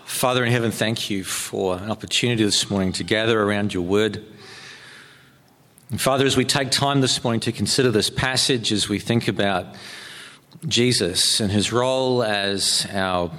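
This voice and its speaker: A man from New Zealand with a New Zealand accent, a pastor giving a sermon in a halting, conversational way with many ums and restarts. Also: fairly low pitch, fairly bright tone. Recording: long hall reverb.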